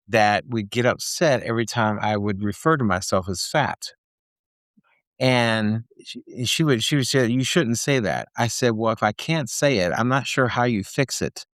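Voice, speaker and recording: A man's voice: on the low side, a bit bright, dry recording. Chatty male podcast host, dry close mic. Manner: chatty, rambling, self-deprecating. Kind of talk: casual podcast chat.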